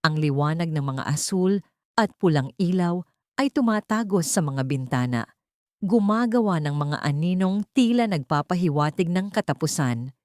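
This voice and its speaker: A woman's voice: lowish voice, lively intonation, normal speed, darkish tone, dry recording, clean studio sound. A woman narrating a scripted dramatic audiobook story.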